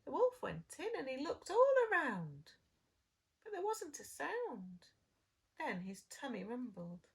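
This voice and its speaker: High, slow, expressive woman storyteller. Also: husky. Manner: dramatic, drawn-out phrasing with hushed moments. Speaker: preschool storyteller. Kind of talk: expressive storybook reading for young children.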